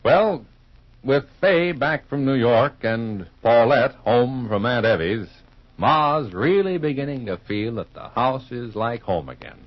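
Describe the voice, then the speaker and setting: A man announcing on 1950s radio, reading scripted pitches and narration in an emphatic, folksy, polished way. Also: expressive, a little slow, dry recording. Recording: muffled vintage radio recording.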